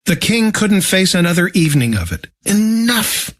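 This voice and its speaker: A man narrating a children's storybook in an animated, scripted read-aloud style. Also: sits high, fairly quick. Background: instrumental music.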